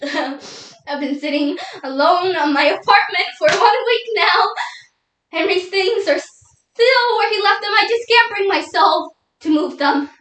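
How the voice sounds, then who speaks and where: A woman playing a grieving young widow in a solo dramatic monologue, emotional and halting, tearful and doubting God. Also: extremely high pitch, lively intonation, fairly bright tone, pitched above the performer's own voice, full character voice. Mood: tearful grief. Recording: echoey room.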